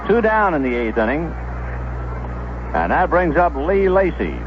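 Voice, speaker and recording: A man's voice: a little high, sing-song, measured pace, lo-fi sound, noisy background. A man giving live play-by-play baseball commentary as a radio announcer on a 1970s broadcast.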